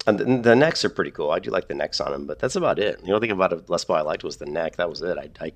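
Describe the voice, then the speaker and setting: A man in a casual, rambling chat about guitars, losing his voice a little and clearing his throat often. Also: deep voice, animated, fast. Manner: rambling, repeats himself, frequent throat clearing. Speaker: streamer.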